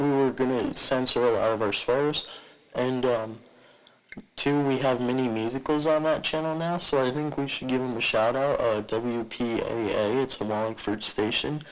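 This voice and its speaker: A man with a medium-pitched voice gives casual commentary as a podcast host. He speaks in a chatty, informal way. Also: moderate pace, rough recording.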